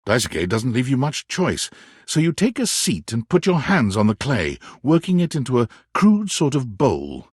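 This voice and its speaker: A man narrating a romantic comedy video game with measured, dramatic storytelling and deliberate pauses. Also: mid-range voice, huge pitch swings, husky, dry recording, clean studio sound. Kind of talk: scripted second-person narration of a dating game.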